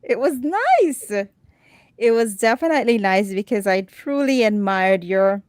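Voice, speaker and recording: A woman's voice: a little high, sing-song, unhurried, a little mellow, no room sound. Chatty woman hosting a talk show. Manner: friendly, chatty, repeats words when starting. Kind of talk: casual interview chat.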